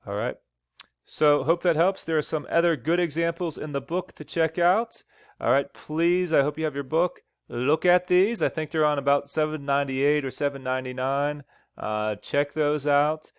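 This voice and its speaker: A male teacher's smooth, clean voice talks students through a slide lesson one step at a time, stopping often to ask "okay?". The recording is dry and close, and his voice sounds a little worn. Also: on the high side, moderate pace, no echo. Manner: explains step by step, frequent "okay?" checks. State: slightly losing his voice. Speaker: math teacher.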